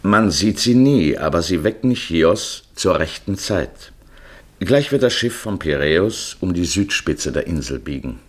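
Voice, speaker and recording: A deep-voiced man narrates a 1950s radio travel feature. His intonation moves widely as he paints scenes in vivid, measured literary storytelling. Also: animated.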